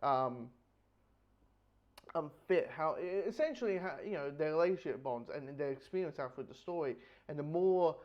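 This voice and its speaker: A man with a somewhat high-pitched voice talks through a casual review. He rambles and halts, restarting phrases and repeating himself as he goes. The recording is dull and lo-fi. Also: animated. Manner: halting, false starts, repeats himself. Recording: dull lo-fi microphone sound.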